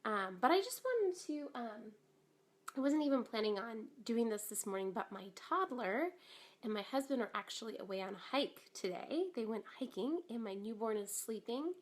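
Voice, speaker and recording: A woman in her thirties hosting a casual live stream, slightly raspy from a cold, chatty and upbeat with lots of ums and likes. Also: a little high, lively intonation, moderate pace.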